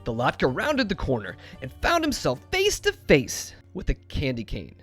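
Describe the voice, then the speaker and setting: A man giving an expressive, dramatic storybook narration. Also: on the high side, normal speed, husky, soft background music.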